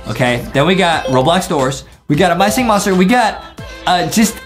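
A young man doing excitable reaction commentary as host. His delivery is playful and exclamatory, with a very lively melody and music behind him. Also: high voice, moderate pace.

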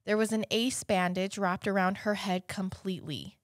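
A woman's voice: medium pitch, steady tone, normal speed, no echo. A young American woman with a cold and a slightly congested voice, co-hosting a true-crime podcast in conversational storytelling style on a close studio mic. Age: young adult. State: has a cold. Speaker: podcast co-host. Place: small indoor podcast studio. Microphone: close studio mic on boom arm, headphones.

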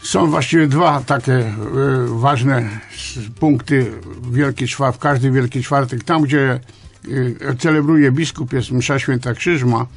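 An older man, a priest, answering in a radio interview in a deliberate, halting, didactic way. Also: mid-range voice, steady tone, faint music behind. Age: older, around 70. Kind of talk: interview answers, explaining church liturgy. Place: small radio studio, indoors. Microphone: studio microphone on boom arm.

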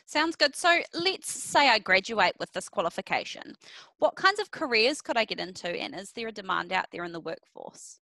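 A woman's voice: mid-range voice, expressive, normal speed, pushed and bright, no echo, poor audio. A young woman working as a student liaison officer, asking upbeat interview questions into a headset. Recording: dry close headset audio.

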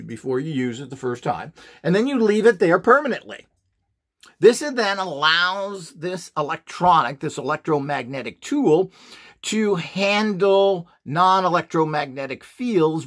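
A middle-aged man talks through a hands-on tabletop demonstration, opinionated and boastful as he dismisses rivals. His voice sits a bit high and swings widely in pitch. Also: fairly bright tone. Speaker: presenter demonstrating devices. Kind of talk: casual product demonstration. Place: indoor desk, hands-on table demo.

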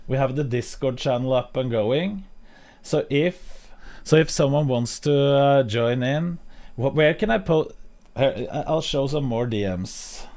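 Slow-talking Norwegian man streaming. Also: medium pitch, lively intonation, a little mellow. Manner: slow, hesitant, many fillers and restarts. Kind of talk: casual live-stream chat. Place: home streaming room. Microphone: studio mic on boom arm, headphones.

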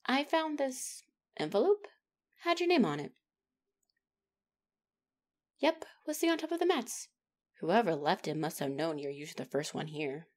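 A woman acts out a young demigod trainee in an audio roleplay. She is feisty and chatty, easily flustered, with a lively swing in pitch. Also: normal speed, pauses often. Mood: wry, casual. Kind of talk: ASMR roleplay acting.

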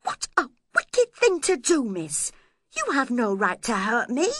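A woman's voice: mid-range voice, very animated, a little slow, fairly bright tone, breathy edge, no room sound, clean studio sound, heavily acted. A woman voicing an outspoken female household servant in a storybook, frank and reproving. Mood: indignant.

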